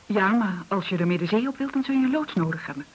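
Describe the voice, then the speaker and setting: A subdued, hesitant widow. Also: female voice, medium pitch, lively intonation, fast talker, slightly rough. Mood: earnest. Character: subdued, earnest widow drawn into danger. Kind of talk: Dutch radio drama acting. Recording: hissy old radio recording.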